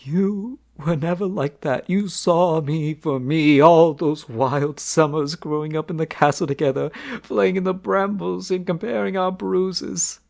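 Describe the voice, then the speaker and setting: A shy, sensitive young crown prince, played by a man, speaking in a soft, halting way. His voice is gravelly with a rough edge, and he takes his time over every word. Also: on the high side, fairly even intonation. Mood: wistful. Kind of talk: romantic audio roleplay.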